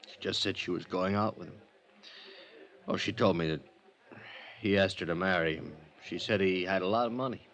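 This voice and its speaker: A wounded, defensive ex-husband in an old radio play. His low voice is halting and plaintive, full of grief. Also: a bit bright. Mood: subdued, defensive. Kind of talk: 1950s radio drama acting.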